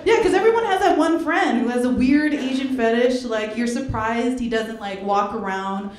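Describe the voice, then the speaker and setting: A woman's voice: on the high side, normal speed. A young woman performing a stand-up comedy set on a club stage into a handheld mic, laughing between jokes. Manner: animated, laughing between jokes, puts on voices for bits. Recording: roomy club with crowd laughter. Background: audience laughing.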